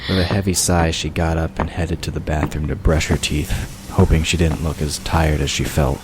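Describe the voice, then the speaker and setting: A man's voice: rumbling low, flat delivery, moderate pace. A man reading scripted audiobook narration of a story. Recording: clear noise behind the voice. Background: ambient sound effects.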